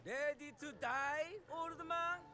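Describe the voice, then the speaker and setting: An actor voicing an arrogant young samurai villain who taunts and boasts, over game music. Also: male voice, very high-pitched, fairly even intonation, slow. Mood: gloating.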